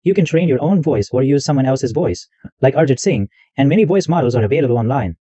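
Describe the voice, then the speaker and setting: A man hosting a tech tutorial, walking through software step by step and repeating himself as he goes. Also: mid-range voice, expressive, quick pace, no room sound, dull audio. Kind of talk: screen-recorded software walkthrough.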